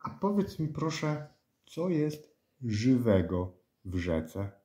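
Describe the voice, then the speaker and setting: Very low-voiced woman interviewer, mellow and lively. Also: takes it slowly. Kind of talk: asking children short questions in an audio documentary.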